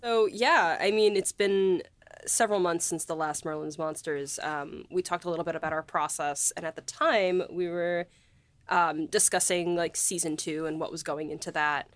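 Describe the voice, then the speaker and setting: A woman's voice: lowish voice, fairly even intonation, normal speed, no room sound. A woman hosting a podcast in casual, unscripted chat with an informal manner. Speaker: podcast host.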